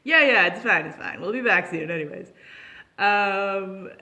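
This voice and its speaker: A woman's voice: medium pitch, lively intonation, measured pace, bright, forceful tone. A young woman telling a personal story in a casual, unscripted vlog style, chatty and giggly, slipping into acted-out dialogue. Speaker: storytelling vlogger.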